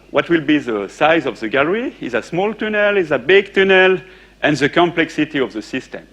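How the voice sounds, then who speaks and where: A man lectures a conference audience in English. He talks haltingly and restarts his sentences often, with plenty of uh and eh. Now and then he stops to ask the room a question. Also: mid-range voice, a bit bright. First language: French. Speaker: conference speaker.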